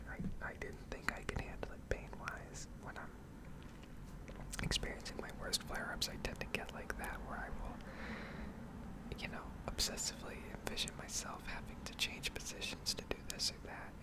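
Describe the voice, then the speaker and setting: A woman with an American accent whispering a candid, rambling ASMR monologue with hesitant phrasing. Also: rough recording. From New England, United States. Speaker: ASMR video creator.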